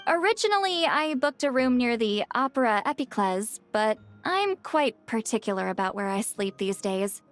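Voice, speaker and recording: A prickly, self-conscious game character in a high, very animated voice. She is huffy and dry, easily embarrassed, with music underneath. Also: normal speed, hardly any pauses. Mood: dry, casual.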